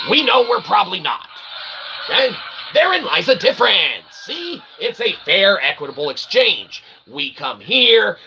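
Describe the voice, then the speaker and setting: A man with a slightly high voice chats over clearly noisy ambience. He rambles casually like a livestream host, poking fun at himself and prone to loud, excitable exclamations. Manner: refers to himself as "we"; self-deprecating.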